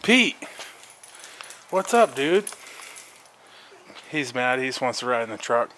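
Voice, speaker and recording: A man's voice: mid-range voice, sing-song, takes it slowly, pushed and bright, a little hiss or hum. An American man giving an informal, laid-back walk-through for a casual vlog, recorded handheld outdoors. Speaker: vlogger, mobile welder.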